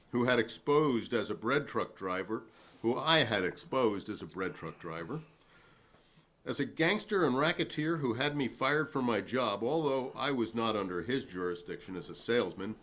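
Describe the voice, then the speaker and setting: A man's voice: medium pitch, fairly bright tone. A man reading the part of a combative working-class salesman testifying at a 1950s hearing, formal, righteous and long-winded. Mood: aggrieved, explanatory. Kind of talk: dramatic reading of a hearing transcript.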